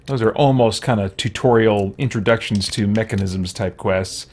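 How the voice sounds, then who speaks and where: A man hosting a game playthrough, musing in character with hesitant pauses and hums. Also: lowish voice, a little mellow, a little hiss or hum. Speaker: let's play host. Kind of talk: in-character roleplay commentary over a video game.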